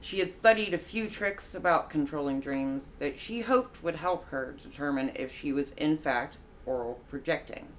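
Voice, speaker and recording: A woman with a low voice narrates a short story for a live reading. Her delivery is measured and careful, with the odd slip that she corrects. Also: slight background noise, low-quality recording. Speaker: guest narrator, musician and artist.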